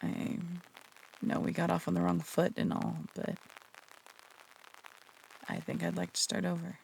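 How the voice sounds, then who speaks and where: A performer playing a flirtatious, teasing phantom thief in an intimate roleplay audio drama, breathy and coy, with casual, earnest turns. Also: medium pitch, completely flat delivery, a little slow, slight background noise, poor audio. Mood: earnest, hesitant.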